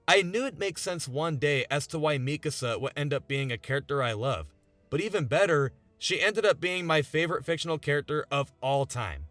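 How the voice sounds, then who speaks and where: Animated, higher-pitched young man narrating. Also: no echo. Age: 20s. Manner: earnest, emphatic with rhetorical questions. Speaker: video essayist. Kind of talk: scripted video essay narration. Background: background music.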